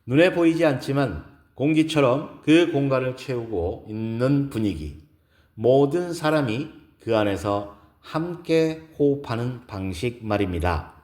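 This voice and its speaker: A poised woman executive acted by a man delivering a formal, deliberate keynote in an echoing audio-drama hall. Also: medium pitch, animated, a little mellow, voice pushed up, natural voice. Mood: earnest, inspiring. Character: poised, earnest woman executive giving an inspirational lecture. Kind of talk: scripted audio drama speech.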